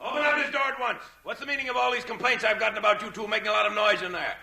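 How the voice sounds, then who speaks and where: A fussy, indignant apartment manager in an old radio comedy. His voice is piercingly high and sharp, with a gravelly edge. He blusters and scolds in a roomy, echoing recording. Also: fairly even intonation, racing, exaggerated voice. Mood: outraged. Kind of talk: 1940s radio comedy acting.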